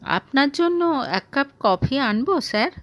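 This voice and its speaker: Woman playing a caring, expressive young office employee. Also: high-pitched, unhurried, pitched above the performer's own voice. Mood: gentle concern. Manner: soft, respectful, soothing.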